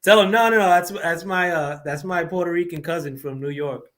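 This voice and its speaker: Casting: man with a squeaky, slightly high voice, livestream host, rambling profane rant, heavy on fillers. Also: a little fast, a bit bright. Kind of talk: casual boxing rant.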